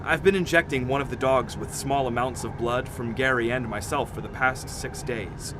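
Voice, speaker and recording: An actor playing a level-headed scientist in an audio drama, explaining things in a measured, serious manner. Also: male voice, fairly even intonation, lightly acted. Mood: somber, measured. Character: level-headed, grave male polar expedition scientist. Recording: clear noisy rumble behind the voice.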